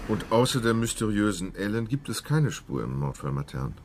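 A man's voice: on the low side, big pitch swings, normal speed, slight background noise. An actor playing a skeptical, probing man in a murder inquiry in a German crime radio play.

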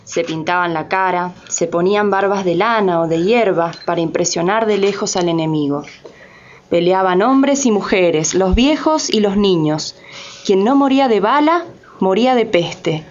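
A woman's voice: mid-range voice, normal speed, muffled recording, slight background noise. A woman giving grave, scripted narration for a radio drama.

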